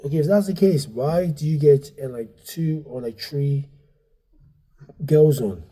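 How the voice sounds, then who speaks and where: A man's voice: on the high side, a little slow, dark tone, poor audio. A man in his thirties with a Nigerian accent, a comedian and club owner chatting loosely with many fillers and repeated words. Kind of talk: casual interview chat.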